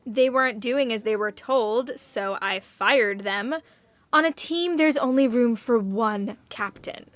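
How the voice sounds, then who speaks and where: Casting: spoiled teen homecoming queen, very high voice, imperious and mocking, audio drama, dry studio sound. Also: takes it slowly, no room sound. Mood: smug, scornful. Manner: imperious, taunting. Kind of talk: scripted audio drama acting.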